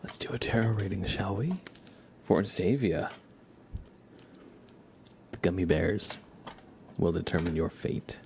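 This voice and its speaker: Male ASMR streamer, low-pitched, hushed and whispery, playful rambling chat, faint background noise. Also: a little slow, pauses often. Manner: rambling, playful asides to viewers. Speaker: livestream host. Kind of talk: casual ASMR livestream chat, often whispered.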